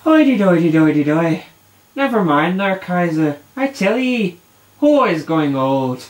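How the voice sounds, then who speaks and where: A man voicing an excitable, wheedling politician puppet in a comic puppet show. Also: sits high, a little slow. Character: excitable, wheedling Irish would-be chancellor puppet.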